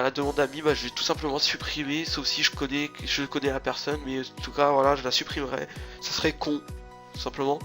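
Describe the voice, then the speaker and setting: A young man talks through a head cold, his voice nasal and congested and sitting a little high. He rambles loosely, full of fillers and false starts. Faint music plays underneath. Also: a bit bright. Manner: rambling, many fillers and restarts. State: stuffy nose from a cold. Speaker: gaming channel host. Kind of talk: rambling casual gaming commentary.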